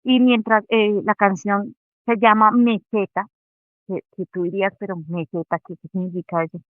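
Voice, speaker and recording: A woman speaking earnestly and reflectively over a video call, telling a personal faith story to an online group and pausing often as she thinks. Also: medium pitch, level tone, dry recording. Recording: tinny phone-like call audio.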